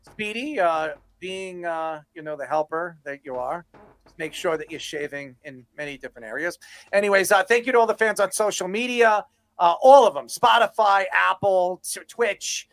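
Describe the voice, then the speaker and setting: A man's voice: high-pitched, normal speed, fairly bright tone, dry recording. A man hosting a sports talk show with casual, rambling banter, often repeating himself. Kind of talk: casual banter and sign-offs.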